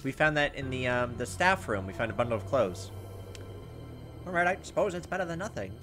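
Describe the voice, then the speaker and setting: A man's voice: medium pitch, big pitch swings, brisk, over music. A man hosting a gameplay video, reading visual novel text aloud with informal, joking asides.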